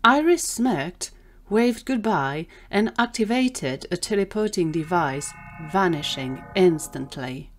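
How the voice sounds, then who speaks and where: A woman's voice: lowish voice, expressive, slow. A woman narrating a scripted audio drama story as the storyteller.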